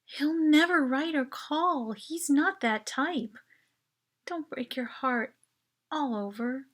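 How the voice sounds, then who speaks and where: A woman's voice: sits high, slow pace, a bit bright, pitched above the performer's own voice. A woman voicing a jealous, clingy teenage girl in a short story, pleading and possessive. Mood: hurt, reproachful. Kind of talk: dramatic short story reading.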